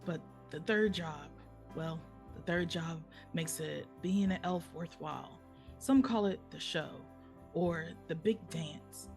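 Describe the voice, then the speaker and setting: A chatty, proud elf storyteller played by a woman spins tales straight to the listener in a folksy, conversational way. The voice sits a little low, with music playing along in a live script read. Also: slow, darkish tone. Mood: chatty, wry.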